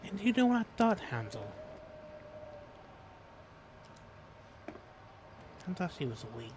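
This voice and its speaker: A man playing a bitter, menacing alter ego of a traumatized man, speaking intensely with dramatic pauses in a roleplay game. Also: high voice, big pitch swings. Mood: bitter, anguished. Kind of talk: improvised dramatic roleplay in a zombie survival game. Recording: clearly noisy background.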